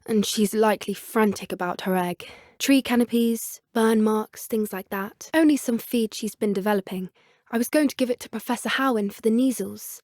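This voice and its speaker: A woman's voice: a little high, dry recording, clean studio sound, lightly acted. A woman with an English accent playing an earnest, plucky teenage schoolgirl witch who loves creatures, speaking politely in video game dialogue. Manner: polite, earnest, softly eager. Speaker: video game character voice actor.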